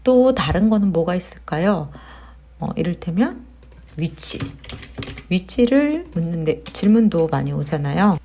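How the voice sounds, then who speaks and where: A woman with a slightly low voice narrates a software tutorial as its host. She explains each step in a conversational way, often posing questions to the viewer. The delivery is that of casual, practical instruction. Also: expressive, a little slow, a little hiss or hum. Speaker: tutorial host, digital marketer.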